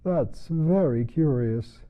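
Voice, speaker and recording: A ponderous, touchy turtle creature from a storybook, put on by a man reading aloud. The words are drawn out slowly and mournfully, with wide pitch movement, on a slightly dull recording. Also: expressive. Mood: puzzled, slow. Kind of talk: storybook character voice.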